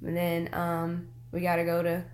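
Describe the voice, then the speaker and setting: A woman co-hosting and producing a casual podcast, raspy from a cold and speaking in a dry, wry way. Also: on the low side, completely flat delivery, moderate pace, a little mellow, roomy sound. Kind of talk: casual chat. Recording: faint background hum.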